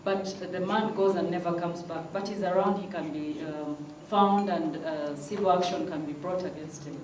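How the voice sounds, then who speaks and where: Woman at a conference, insistent, low voice, mellow tone, distant mic in an echoey room. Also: normal speed, slight background noise. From Uganda. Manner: earnest, insistent, repeats "I want us to think". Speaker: legal aid program worker, panel participant. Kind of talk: conference floor remarks.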